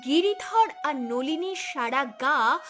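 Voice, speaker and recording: A woman's voice: high-pitched, expressive, slow pace, fairly bright tone, soft background music. A woman narrating a scripted cartoon story in a dramatic storytelling style.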